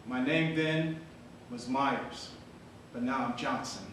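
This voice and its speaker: A man's voice: fairly even intonation, slow, lightly acted. An actor playing a solemn formerly enslaved man asking after his lost brothers, in a dramatic stage reading. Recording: very echoey hall, distant mic, faint rumble.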